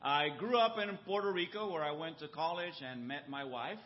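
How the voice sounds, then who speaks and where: A man's voice: fairly high pitch, moderate pace. An older man from the United States gives a conversational church talk to a congregation, with many fillers and tag questions. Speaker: church mission director. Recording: muffled lo-fi recording.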